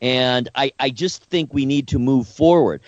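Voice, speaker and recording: A man co-hosting a talk podcast, speaking earnestly and haltingly, often repeating words as he works out his point. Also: mid-range voice, no echo, dull audio. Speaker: podcast co-host. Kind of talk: casual discussion.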